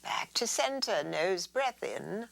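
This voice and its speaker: A woman teaching yoga in a soothing, unhurried way, guiding relaxation and gentle stretches and drifting into breathy near-whispers. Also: medium pitch, expressive, a little hiss or hum.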